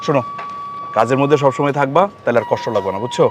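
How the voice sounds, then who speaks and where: An actor playing a brisk but caring boss of migrant workers in a TV drama, instructive and repetitive, with a Bangladeshi accent. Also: male voice, medium pitch, background noise, close to an everyday voice. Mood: consoling. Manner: instructive, repeats himself. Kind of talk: TV drama acting.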